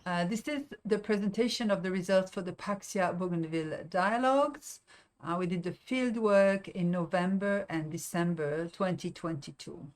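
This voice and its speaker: A woman's voice: fairly low pitch, moderate pace. Hesitant Belgian-born woman evaluator. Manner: hesitant, many fillers and restarts. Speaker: project evaluator. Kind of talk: presenting research results.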